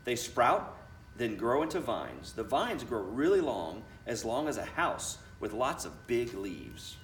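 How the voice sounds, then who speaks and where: Man reading as storybook farm woman, friendly, instructive, animated pitch, roomy sound. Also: unhurried. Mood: cheerfully instructive. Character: Missus Bell, friendly woman pumpkin farmer explaining things. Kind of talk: children's picture book read aloud. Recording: roomy, distant mic, faint noise.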